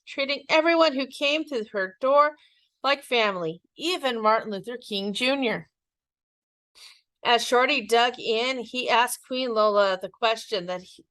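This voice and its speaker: A woman with a somewhat high voice reads a children's story aloud like a schoolteacher. The sound is close and dry, and the delivery is expressive storytelling. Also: no echo. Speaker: teacher reading a picture book aloud.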